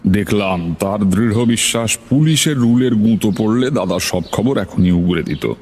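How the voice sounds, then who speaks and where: A man's voice: lowish voice, expressive, moderate pace, a little mellow, slightly rough. A man narrating a suspense story in the first person, as a scripted dramatized reading.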